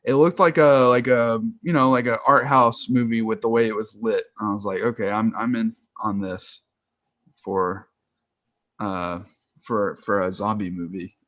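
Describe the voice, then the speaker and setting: A man's voice: fairly low pitch, steady tone, normal speed, a bit bright, no room sound. Guy in his 20s geeking out on films, rambly and slangy, dry call audio. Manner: rambling, enthusiastic slangy talk. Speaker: film podcast co-host. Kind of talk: casual film chat. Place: remote video call.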